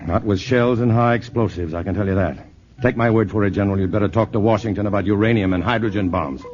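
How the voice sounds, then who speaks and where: An actor playing a calm male scientist hero who explains things plainly, in a 1950s radio drama. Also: on the low side, fast talker, natural voice. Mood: tense. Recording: dull old radio broadcast with music.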